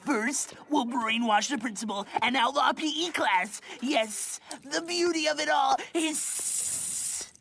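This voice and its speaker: A woman voicing a pompous, scheming villain boy in a cartoon fandub, gloating with drawn-out, theatrical menace. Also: high-pitched, gravelly, exaggerated voice. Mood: smugly devious. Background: loud music.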